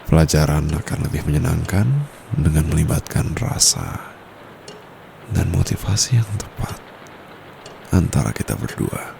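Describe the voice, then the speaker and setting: Man acting a very deep, gravelly seductive tutor. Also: mellow, exaggerated voice. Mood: sly, persuasive. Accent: Indonesian. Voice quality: very deep, gravelly, breathy murmur. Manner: slow, teasing, drawn-out delivery slipping into whispers. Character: seductive, dominant male private tutor, smug and teasing. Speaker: amateur voice actor. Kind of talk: intimate ASMR roleplay, mixing whispers and murmurs. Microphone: close condenser microphone. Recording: close mic with clear background noise.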